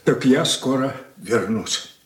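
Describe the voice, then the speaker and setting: A man's voice: mid-range voice, animated, slow, a bit bright. An actor playing a brooding merchant father in a 1980s Soviet radio play, speaking in a roomy studio. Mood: subdued.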